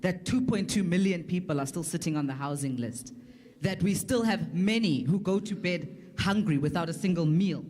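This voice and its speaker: A woman's voice: fairly low pitch, darkish tone. A South African woman making a political argument as a panelist, articulate and emphatic, repeating phrases for weight. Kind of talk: prepared political argument at a public forum.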